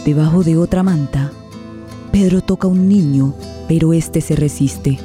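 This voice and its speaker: A woman's voice: sits low, moderate pace. A woman giving a dramatic scripted narration of a radio play. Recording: soft guitar music under voice.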